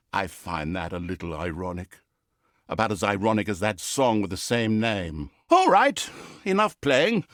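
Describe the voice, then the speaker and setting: An actor playing a smug, petty narrator of a comic fantasy video game, pompous and sardonic, breaking the fourth wall in hammy storytelling. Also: male voice, lowish voice, very animated, measured pace, fairly bright tone, no echo, clean studio sound. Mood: dry, mocking, then impatient, exasperated.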